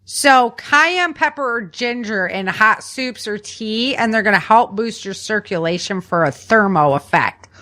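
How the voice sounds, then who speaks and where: A woman's voice: medium pitch, lively intonation, unhurried, few pauses, bright, forceful tone. A woman co-hosting a podcast, chatting informally as she explains practical tips. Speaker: podcast co-host.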